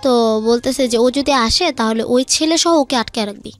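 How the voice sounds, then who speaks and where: High-pitched young woman telling her story. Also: moderate pace, faint music behind. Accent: Bangladeshi. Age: young adult. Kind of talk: informal personal storytelling.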